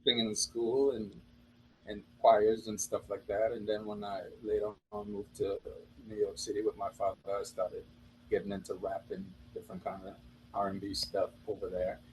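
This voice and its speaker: A man with a Trinidadian accent talks in a lowish voice, relaxed and chatty as he tells his story. A faint hum of ambience sits behind him. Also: normal speed, rough recording. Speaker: rapper and producer. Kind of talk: casual interview chat.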